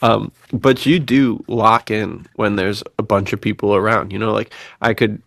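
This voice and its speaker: A man with a fairly low voice and plenty of vocal fry chats as a podcast co-host. He talks in a loose, slangy, agreeable way, and the recording is close and dry. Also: steady tone, moderate pace, fairly bright tone, no echo. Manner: slangy and agreeable, calls his co-host dude. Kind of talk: casual conversational podcast chat.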